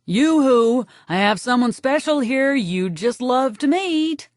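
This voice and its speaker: A woman's voice: high-pitched, animated, takes it slowly, dry recording, studio quality, pitched above the performer's own voice. Sister Catherine, a woman doing a cheery nun. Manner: loud, sing-song calling out.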